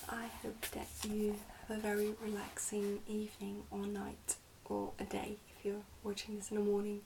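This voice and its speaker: A woman reading a storybook aloud in a soft, careful, partly whispered ASMR style. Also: mid-range voice, completely flat delivery, normal speed, vocal fry, distant mic, a little hiss or hum, poor audio.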